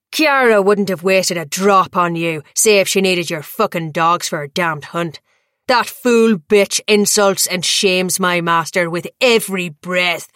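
A woman's voice: mid-range voice, no room sound, studio quality, lightly acted. A woman doing a snarling, foul-mouthed brute of a man. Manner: hissing, profane, loud. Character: vicious brutal male thrall, crude and snarling.

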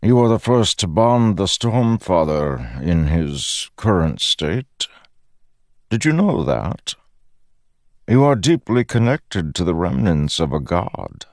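A man voicing an ancient malevolent god in a fantasy audiobook, smiling, paternal and patronizing, with unhurried theatrical delivery. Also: low-pitched, sing-song, no echo, studio quality, voice pushed up, exaggerated voice. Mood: smug, menacing.

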